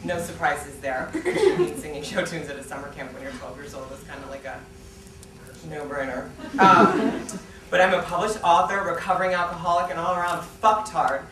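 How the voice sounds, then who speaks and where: A man's voice: a little high, animated, moderate pace, crowd noise. A man in his thirties giving an informal, self-deprecating comic introduction as an author at a launch event. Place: small theatre stage at a lectern, indoors. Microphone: distant camera. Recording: echoey, noisy, distant event recording.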